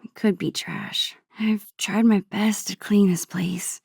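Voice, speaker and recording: A woman playing a soft-spoken, introspective teenage girl in a video game, wistful and hesitant, with a whispery voice. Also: mid-range voice, steady tone, slow, gravelly, slightly rough, no echo, studio quality, heavily acted. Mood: musing. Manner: hesitant, gentle, musing to herself. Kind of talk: naturalistic video game dialogue and inner monologue.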